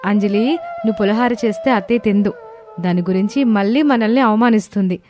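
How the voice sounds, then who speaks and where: A woman playing a sensible, patient elder daughter-in-law in an animated story, reasoning and placating. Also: mid-range voice, fast, close to an everyday voice. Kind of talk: animated moral story dialogue. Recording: voice over prominent music bed.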